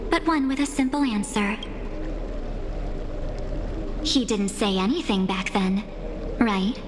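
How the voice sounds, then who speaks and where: An actress playing a knowing, enigmatic young woman in a video game, measured and coyly probing as she speaks. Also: on the high side, long reverb tail, background noise. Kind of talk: dramatic video game acting.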